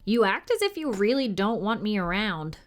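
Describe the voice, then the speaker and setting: A woman's voice: mid-range voice, very animated, normal speed, natural voice. A woman voicing a curious, chatty young schoolboy in a storybook reading, asking things in a direct way. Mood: disappointed. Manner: inquisitive, direct.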